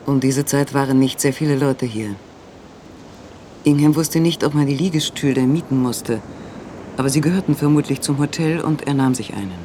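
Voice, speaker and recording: A man's voice: medium pitch, normal speed, dark tone, natural voice. A man reading scripted narration for a radio play, on a recording with faint noise.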